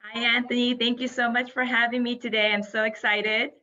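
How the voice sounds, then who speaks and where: A woman's voice: a little high, level tone, a little fast, pushed and bright, highs cut off. A woman from Japan, a native Japanese speaker in her forties, gives an upbeat, enthusiastic webinar presentation as a guest speaker over a video call. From Yokohama, Japan. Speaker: guest speaker, hospitality entrepreneur.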